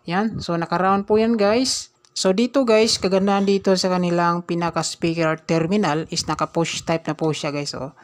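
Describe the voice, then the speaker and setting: A man's voice: high-pitched, fairly even intonation, normal speed. A man in a casual review vlog, explaining a speaker step by step and often calling his viewers "guys", recorded indoors at night. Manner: chatty, explains step by step, keeps saying "guys".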